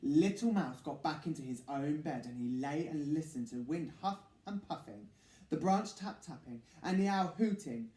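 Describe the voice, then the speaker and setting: Male children's story reader: high-pitched for a man, slow, theatrical, dramatic pauses, echoey room. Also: animated, warm tone. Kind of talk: expressive picture-book narration.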